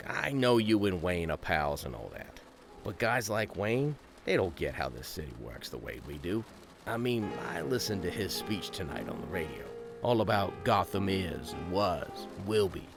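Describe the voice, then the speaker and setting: An actor playing a gruff, cynical, talkative police detective in an audio drama, sardonic and full of streetwise slang. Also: male voice, sits low, big pitch swings, normal speed, heavily acted. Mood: ominous, musing. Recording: music behind the voice.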